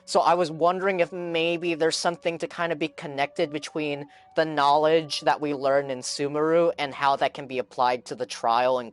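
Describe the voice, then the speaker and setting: A man's voice: fairly high pitch, clean tone. A man streaming a game, giving casual live reaction commentary and thinking aloud in a rambling way.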